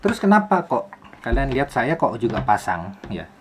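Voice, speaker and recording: A man's voice: mid-range voice, very animated, normal speed, a little hiss or hum. A man giving a casual tutorial as a video host, explaining things with rhetorical questions and repeated phrases. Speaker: YouTube host, film soundman.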